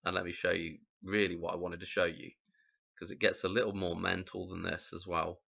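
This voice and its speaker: Croaky man. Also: deep voice, flat delivery, fairly quick, muffled recording. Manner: rambling, musing, frequent "okay?" check-ins. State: croaky from a cold. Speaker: channel host explaining number codes. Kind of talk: casual explanatory talk.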